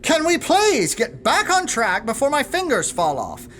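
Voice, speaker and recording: A woman's voice: high voice, huge pitch swings, a little slow, a bit bright, a little hiss or hum, full character voice. A woman playing an exasperated, sarcastic staff manager in an audio drama, with a clipped, indignant manner. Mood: impatient.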